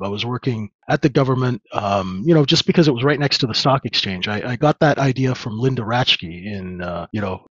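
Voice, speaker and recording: A man in his fifties tells long stories as a guest, relaxed and rambling, pausing on fillers. His voice sits at a middle pitch. The video call sounds dull and lo-fi, with a dry sound. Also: no echo. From Canada. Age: 50s. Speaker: trader guest. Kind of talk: casual interview storytelling.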